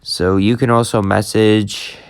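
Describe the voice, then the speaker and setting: A man giving a casual, unscripted app tutorial, speaking plainly and haltingly as he hosts the demonstration. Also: fairly low pitch, flat delivery, clear, resonant tone, dry recording. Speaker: YouTube host demonstrating an app.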